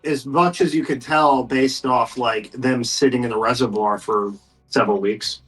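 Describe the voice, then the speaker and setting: A man's voice: medium pitch, fairly even intonation, normal speed, fairly bright tone, rough recording. A man acting as game master, casually narrating and steering a tabletop game.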